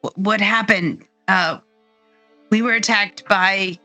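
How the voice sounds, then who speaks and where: A woman with a bright, mid-pitched voice plays her character in a tabletop game, speaking hesitantly with many fillers. The sound is dry and close, with faint music behind her. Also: fairly even intonation, slow, dry recording. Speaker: player.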